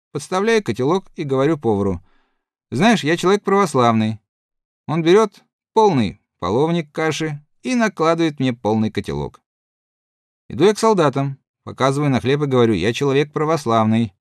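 A man's voice: fairly high pitch, no echo, clean studio sound. A man reading as a chatty old soldier. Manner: chatty, lively yarn-spinning to comrades. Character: good-natured, rambling middle-aged soldier storyteller. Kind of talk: audiobook reading.

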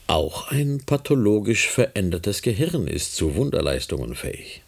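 A guarded medical researcher in a radio play. His voice is very deep and creaky, with an expressive melody. He speaks formally and carefully, as if withholding something. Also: heavily acted. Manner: formal, measured, hesitant. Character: guarded, evasive medical researcher questioning a woman. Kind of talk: German radio play acting.

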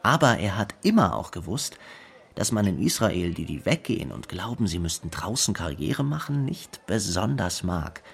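A man's voice: low voice, very animated, moderate pace, vocal fry, slightly rough. A man narrating in a radio feature, giving a reflective recollection.

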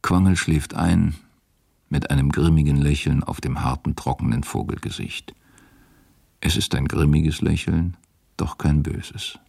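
A grave radio-play storyteller. His voice is extremely low, gravelly and husky, in a dry acoustic. The narration is measured and sombre. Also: fairly even intonation, darkish tone, dry recording, exaggerated voice. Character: grave male storyteller of a dark novel. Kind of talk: scripted narration.

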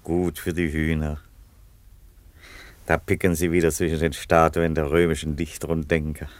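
Roman emperor for radio satire: bass register, serene, genial, eccentric, unhurried, dry acoustic. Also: male voice, little pitch movement, dry recording. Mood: placid, fond. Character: placid, eccentric Roman emperor devoted to his hens. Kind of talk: satirical radio play acting.

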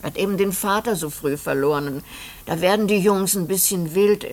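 A woman's voice: fairly low pitch, brisk, a little mellow, natural voice. An actress playing a lonely, anxious middle-aged widowed mother in a German radio play, speaking in a hesitant, homely way. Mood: worried, wistful. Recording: noisy old radio drama recording, clicks.

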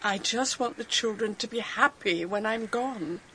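An actress playing a proud, dutiful older British great-aunt with a brisk, no-nonsense manner, in a radio drama. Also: female voice, on the high side, sharp tone, slight background noise, low-quality recording. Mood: grave, resolute. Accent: British English.

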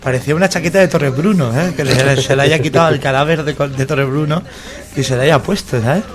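A man, tossing in quick agreeing interjections and playful asides as co-host of a comedy talk show. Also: a little high. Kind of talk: casual comedic banter.

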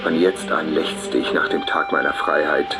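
An actor playing a proud, embittered convict who recounts his downfall in measured, formal phrases in a literary radio play. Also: extremely low pitch, fairly even intonation. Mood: brooding, confessional. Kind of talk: dramatic first-person monologue in a literary radio play. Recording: studio radio play with music underneath.

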